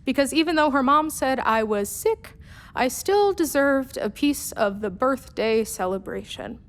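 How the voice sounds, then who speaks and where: A woman playing a troubled but self-assured spaceship mechanic in a confessional radio play monologue, using dramatic pauses. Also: sits high, animated. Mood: rueful, confessional.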